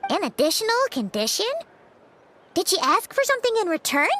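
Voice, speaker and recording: A woman voicing a tiny, chatty, excitable fairy companion girl in an anime-style video game, with a squeaky childlike voice. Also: brisk, soft background music, exaggerated voice. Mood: confused. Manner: speaks of self in third person, expressive.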